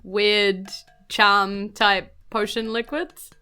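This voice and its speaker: A woman with a New Zealand accent and a bright, forward voice runs a tabletop game. She talks in a casual, improvised way as game master. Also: mid-range voice, level tone, very slow, smooth.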